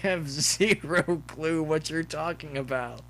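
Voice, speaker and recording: A man voicing an angry, drunk male college pony bully who shouts threats in an audio story. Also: on the high side, takes it slowly, slight background noise, pitched above the performer's own voice. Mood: threatening.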